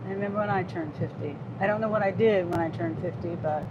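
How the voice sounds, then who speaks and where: A woman's voice: lowish voice, fast, lo-fi sound, background noise. A woman in her fifties, a gig delivery driver, chatting casually on a livestream and reading out viewer comments in a rambling way. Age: 50s. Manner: chatty and rambling, with frequent restarts.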